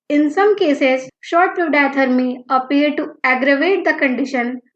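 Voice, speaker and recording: High-pitched Indian woman narrating a lesson. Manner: mixes Hindi and English, instructional. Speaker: physiotherapy lecturer. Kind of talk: scripted educational narration. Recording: dull lo-fi audio, roomy echo.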